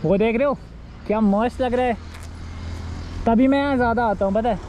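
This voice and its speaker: A young man in his twenties chatting excitedly to viewers as a travel vlogger, filmed outdoors on an action camera. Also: very high voice, fairly quick. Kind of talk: excited travel vlog chat. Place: outdoors on mountain roads and at a waterfall. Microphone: action camera on a chest mount and handheld. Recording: loud wind and water noise from an outdoor action cam.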